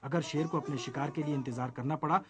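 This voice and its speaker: A man's voice: a little high, steady tone, fast talker, natural voice. A man voicing anxious jungle animals under a tyrant's rule in a children's story, speaking earnestly and formally. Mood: scolding.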